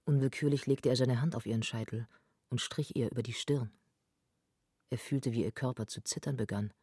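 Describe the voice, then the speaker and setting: Male narrator, husky, mellow, dry close sound, literary radio drama reading. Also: mid-range voice, steady tone, moderate pace, no echo.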